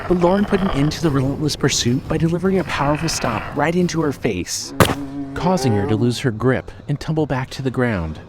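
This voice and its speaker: A man reading scripted story narration in a measured, expressive, dramatic way. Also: medium pitch.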